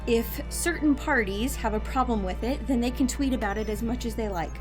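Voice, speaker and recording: A woman's voice: a little high, a little fast, music in the background, close to an everyday voice. A woman playing a decisive agency director in an audio drama, speaking in a businesslike, authoritative way. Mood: exasperated, decisive.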